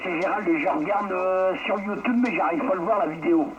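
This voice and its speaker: A man's voice: sits high, brisk, crowd noise. A man chatting casually as an amateur radio operator over a very noisy, muffled transmission.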